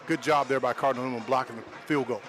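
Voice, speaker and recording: American male color analyst, fast talker, mid-range voice, conversational football breakdowns. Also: mid-range voice, steady tone, a bit bright. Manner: conversational, explanatory. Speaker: color analyst, former player. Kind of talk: live football color commentary.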